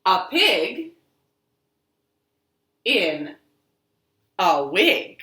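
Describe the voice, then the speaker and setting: A woman hosting storytime for young children, speaking in an expressive, encouraging sing-song way in a living room. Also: medium pitch, drawn-out words. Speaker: children's storytime librarian host. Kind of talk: children's storytime, talking and reading aloud to young kids. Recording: roomy home living room echo.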